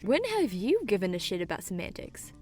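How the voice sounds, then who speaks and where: A woman's voice: mid-range voice, wildly swooping pitch, brisk, darkish tone, soft background music. A woman playing a kind, poised young female student with a dry wit in an audio drama. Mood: wry.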